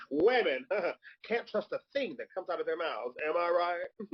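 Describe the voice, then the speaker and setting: Casting: male actor as sassy, gossipy drag queen, theatrical drawn-out lines, lively swoops, slightly raspy, dull recording. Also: sits high, full character voice. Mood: flirty, gossipy. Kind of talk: comedic live table read. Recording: dull lo-fi audio.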